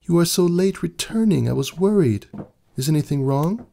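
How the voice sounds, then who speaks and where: A man voicing an affectionate, fussing female pony, an old stallion's wife in a storybook reading, soft and tender in manner. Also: on the high side, animated, moderate pace, vocal fry, voice pushed up. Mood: worried, loving.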